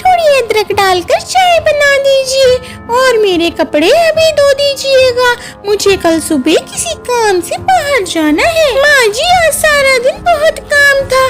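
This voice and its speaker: A woman's voice: very high-pitched, very animated, slow pace, hardly any pauses, loud music behind the voice, voice pushed up, full character voice. A woman voicing a demanding young career-woman daughter-in-law bird, handing out orders in an entitled way for a cartoon. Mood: tired, demanding.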